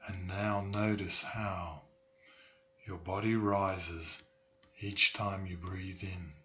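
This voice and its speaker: A man narrating a guided meditation in a soothing, deliberate way with long pauses. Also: low-pitched, heavy background noise. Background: humming tuning forks.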